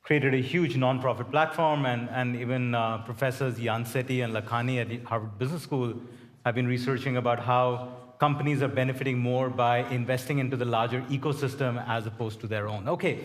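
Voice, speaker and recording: A man's voice: mid-range voice, fairly even intonation, a little fast. A man moderating a panel, talking in a loose, conversational way with restarts.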